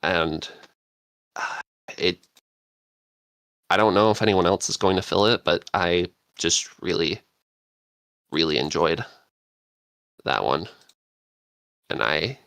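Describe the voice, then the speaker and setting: A man's voice: sits low, takes it slowly, gravelly, pauses often, fairly bright tone, no room sound. A man chatting casually as a panelist, rambling and searching for words. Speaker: podcast panelist and scriptwriter. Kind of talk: casual chat.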